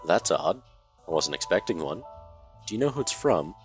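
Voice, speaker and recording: A man playing a calm, curious detective. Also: sits low, soft background music. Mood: inquisitive. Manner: measured, polite and inquisitive.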